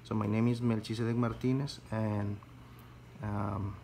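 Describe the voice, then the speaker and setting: A man with a Spanish accent, Spanish his first language, teaching an electrical calculation slowly step by step, halting and restarting phrases as he searches for English words. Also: fairly low pitch, flat delivery, creaky, warm tone, slight background noise. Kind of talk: slow step-by-step tutorial.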